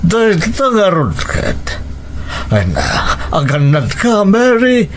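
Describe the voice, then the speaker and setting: A man acts a concerned, solemn uncle in a radio drama. His high voice swings very widely in pitch, with an Ilocano accent. Faint ambient noise sits behind him. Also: wildly swooping pitch, takes it slowly, heavily acted. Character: concerned, protective uncle advising his niece.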